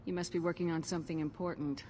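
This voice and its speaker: A woman's voice: fairly low pitch, steady tone, fast talker, background noise, lightly acted. A woman playing a cool, authoritative human military commander in a video game, direct and dry. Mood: placating. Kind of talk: video game character dialogue.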